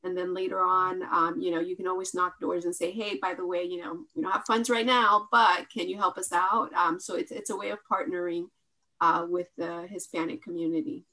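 A woman with a low voice speaking on a video call. She is a staff liaison offering help in an upbeat, cheerful way. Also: flat delivery, fairly quick, bright, forceful tone, poor audio.

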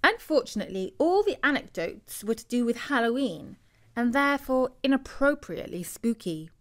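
A woman's voice: a little high, moderate pace. Woman narrating a children's story. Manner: expressive, acts out the dialogue.

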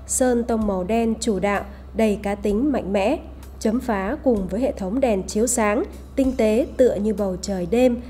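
A woman's voice: on the high side, warm tone. A woman reading a scripted promotional voice-over as a narrator. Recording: voice over background music. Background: music.

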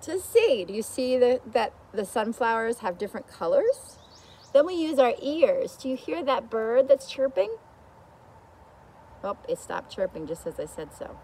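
A woman's voice: a little high, sing-song, measured pace, warm tone, breathy edge, rough recording. A middle-aged woman teaching young children with simple talk and singsong storytelling, recorded outdoors. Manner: asks the young viewers frequent simple questions. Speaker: children's teacher reading and singing a picture book. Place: outdoors in a leafy garden. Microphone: camera or phone a short distance away.

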